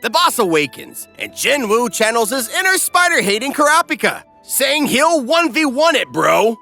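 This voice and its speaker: Very animated, high-voiced male narrator. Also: normal speed, faint music behind. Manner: snarky, irreverent, exuberant. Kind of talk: comedic scripted recap narration.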